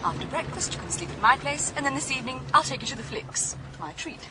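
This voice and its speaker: A woman playing a breezy, bossy but caring friend in a naturalistic radio drama, brisk and teasing. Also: high-pitched, lively intonation, noisy background. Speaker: actor in a radio thriller.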